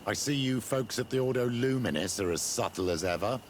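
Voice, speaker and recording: A man's voice: mid-range voice, animated, brisk, a little hiss or hum, lightly acted. An actor playing a mocking, sardonic man in a video game, speaking with sarcasm. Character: sardonic, mocking male challenger. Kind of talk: video game cutscene dialogue.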